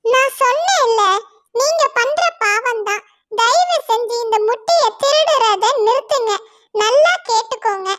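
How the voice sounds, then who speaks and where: High-pitched cartoon mother bird voiced by a woman, anxious, pleading, very high voice. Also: animated, measured pace, fairly bright tone, dry recording, exaggerated voice. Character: worried mother bird, gentle wife.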